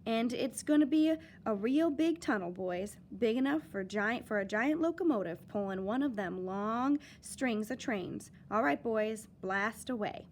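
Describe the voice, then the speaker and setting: Woman reader doing a bossy storybook foreman barking orders at his crew; loud, a touch high. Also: animated, unhurried, few pauses, slight background noise. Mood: commanding. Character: bossy male railroad foreman giving orders.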